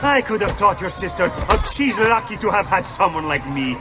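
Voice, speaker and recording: Game villain: cocky young Italian noble, taunting, high animated voice, Italian accent. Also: male voice, normal speed, over music. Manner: sneering, boastful. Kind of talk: video game cutscene acting. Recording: outdoor street crowd behind voice.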